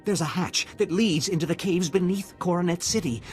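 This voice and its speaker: An actor playing an anxious, frightened official in a video game, pleading in a nervous manner. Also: male voice, high voice, fairly quick, faint music behind, close to an everyday voice. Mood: fearful. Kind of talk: video game cutscene acting.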